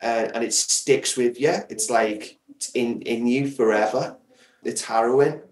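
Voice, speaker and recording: A man's voice comes through with a roomy echo, set back from the mic, at an average pitch. He talks casually as an interview guest, with enthusiasm, leaning on words and repeating them for emphasis. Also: steady tone, measured pace, distant mic. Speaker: guest, audio drama creator.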